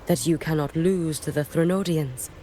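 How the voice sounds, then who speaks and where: A woman voicing a stoic, solemn female warrior in a video game, speaking formally and softly, with a breathy edge. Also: low voice, slight background noise, lightly acted. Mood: solemn, measured. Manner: formal, measured, grave. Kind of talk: scripted video game voice acting.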